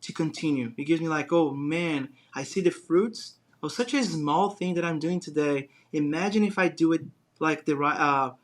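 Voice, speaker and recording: A man's voice: on the high side, normal speed. A man from Brazil with a Brazilian Portuguese accent, a guest talking casually and rambling with many fillers and restarts. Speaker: guest, design business owner. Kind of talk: casual interview chat.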